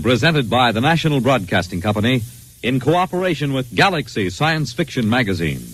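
A man's voice: mid-range voice, rough recording. A man announcing from a script in 1950s radio style, with a polished, showy delivery. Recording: vintage radio broadcast, music behind. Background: clear music.

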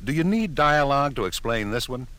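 A man's voice: medium pitch, sing-song, a little fast, very noisy. A man giving scripted documentary narration.

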